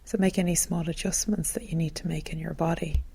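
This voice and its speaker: Low, creaky, soft-voiced woman guiding meditation. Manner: gentle, calm, soothing, unhurried phrasing. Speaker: meditation teacher. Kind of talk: guided meditation narration.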